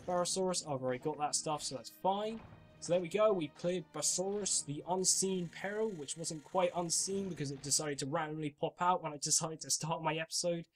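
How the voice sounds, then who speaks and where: A young man giving chatty commentary while playing a game, explaining as he goes, his voice a bit off from a cold coming on. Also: fairly high pitch. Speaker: gaming channel host. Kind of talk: casual gameplay commentary.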